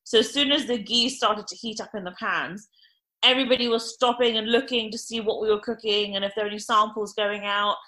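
A woman in her thirties, a restaurant owner, telling a podcast interviewer a long anecdote in an animated, chatty way with plenty of fillers. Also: a little high, brisk.

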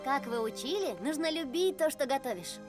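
A woman voicing a small, eager young female gnome in an animated film, bubbly, playful and a little pushy. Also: high voice, a little fast, over music. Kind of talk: animated film dub acting.

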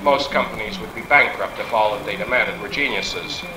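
Guy giving a formal business talk, echoey room, noisy old reel to reel. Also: on the low side. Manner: measured, articulate, formal wording. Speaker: business speaker. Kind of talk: speech to a business meeting. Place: meeting room. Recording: noisy echoey 1960s reel-to-reel meeting tape.

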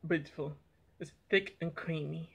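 A young man talking plainly and a little haltingly as he reviews eggnog on a home vlog, filmed by a camera on the table in a small room. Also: a little high, fairly even intonation, vocal fry, husky. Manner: plain, unhurried, slightly halting talk. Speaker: product reviewer. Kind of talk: casual taste-test vlog. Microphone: camera on table in front.

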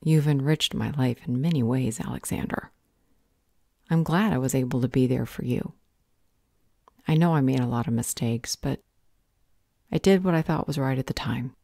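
A motherly vampire healer, acted by a woman, low and soft-toned. She speaks in a measured, earnest way, as a calm and protective mentor in an audio roleplay. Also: gravelly, dry recording, studio quality, full character voice. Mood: caring, reassuring.

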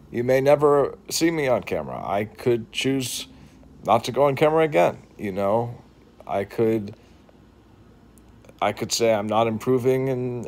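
A man's voice: mid-range voice, a little mellow. A man in his thirties with a New England accent, talking earnestly to his phone camera from a couch at home, with frequent restarts and fillers. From New England, Boston. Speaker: vlogger. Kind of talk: reflective talk to camera. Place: living room, seated on couch indoors. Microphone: phone camera at arm's length.

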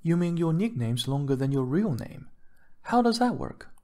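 A man acting a glamorous, wealthy wife in an audiobook. Her pitch is raised and swoops about. She gushes, bristles easily and sprinkles her talk with pet names. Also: very animated, moderate pace, dark tone. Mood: indignant. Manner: gushing, defensive, pet names for husband. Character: wealthy glamorous wife, breezy, touchy, charming woman.

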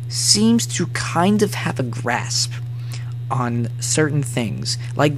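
A young man giving casual, rambling commentary in a halting, emphatic, exasperated way, repeating words for dramatic effect. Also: medium pitch. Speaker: fan fiction reader and commentator. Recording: noticeable background noise.

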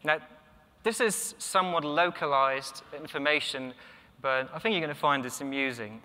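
A man's voice: a little high, normal speed, bright, forceful tone. A young man whose first language is Polish, giving a technical conference talk from a lectern, thoughtful, with many ums and restarts. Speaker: conference speaker. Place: large conference hall, at a lectern. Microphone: lectern microphone. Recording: long hall reverb.

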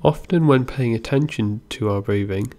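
A man gently guiding a meditation as an instructor, in an unhurried way with pauses between instructions. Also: mid-range voice. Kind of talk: guided body scan meditation narration.

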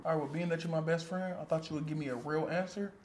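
A man's voice: high voice, sing-song, slight background noise. A man playing a sassy, wounded best friend. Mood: hurt, sassy. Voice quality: sassy, theatrical, drawn-out delivery. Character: dramatic jilted male best friend, sassy. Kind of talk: comedy skit acting.

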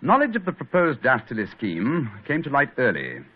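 An actor playing a brilliant, self-assured detective, lecturing in 1940s radio acting. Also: male voice, medium pitch, wildly swooping pitch, moderate pace. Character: brilliant, brisk English detective, male, middle-aged. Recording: muffled 1940s radio broadcast.

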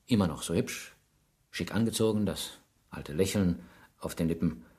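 An actor playing a shrewd, insistent police inspector who probes and presses a suspect in a radio crime drama. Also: male voice, low voice, moderate pace, a little mellow, close to an everyday voice. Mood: probing, coaxing. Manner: probing, pointed, pressing questions.

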